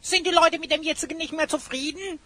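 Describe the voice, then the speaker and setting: A woman's voice: high voice, brisk, fairly bright tone, no echo. A woman playing a curious, cheeky little sidekick in an audio drama, with a pert, inquisitive manner. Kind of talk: German children's audio drama acting.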